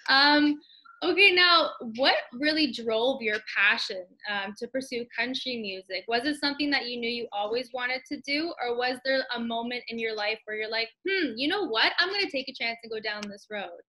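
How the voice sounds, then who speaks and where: A woman's voice: a little high, moderate pace, sharp tone, low-quality recording. A young woman in her twenties hosting a casual interview over a video call, chatty and upbeat, with plenty of fillers. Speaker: host. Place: small room at home.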